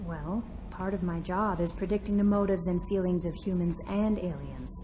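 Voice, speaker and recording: Lady playing a caring flirty crew member in a game, soft dark warm voice, faint hum behind. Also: fairly low pitch, normal speed, natural voice. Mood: tender, flirty. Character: solicitous, flirtatious female crew counselor aboard a spaceship. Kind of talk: video game acting.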